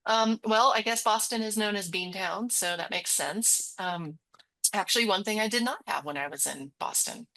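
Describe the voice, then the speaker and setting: A woman's voice: mid-range voice, moderate pace, sharp tone. A woman co-hosting a library webinar, walking through a website in a chatty, upbeat way with frequent giggles. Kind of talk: casual screen-share demo chat.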